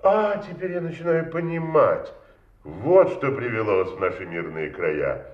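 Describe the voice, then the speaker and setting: An actor playing a genteel, evasive wealthy gentleman under suspicion in an audio drama, urbane and ironic in manner. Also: medium pitch, big pitch swings, measured pace, reverberant, distant mic, highs cut off. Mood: ironic, composed.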